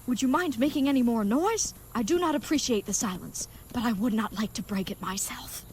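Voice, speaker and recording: A woman's voice: on the high side, brisk, lightly acted. A woman playing a grandiose, dim-witted chivalrous knight, noisy ambience. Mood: fond, chatty. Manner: grandiose, florid, mock-archaic phrasing. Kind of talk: comedic audio drama acting.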